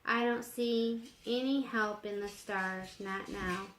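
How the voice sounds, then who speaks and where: A woman voicing an earnest, bookish young man with a deliberate manner in a story. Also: mid-range voice, steady tone, a bit bright, reverberant, poor audio. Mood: grieved, curt.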